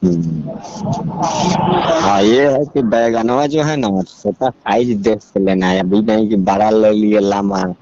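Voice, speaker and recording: Mellow Bihari-accented man, muffled call. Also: lowish voice, takes it slowly. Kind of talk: casual phone chat. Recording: dull lo-fi call recording, clear noise.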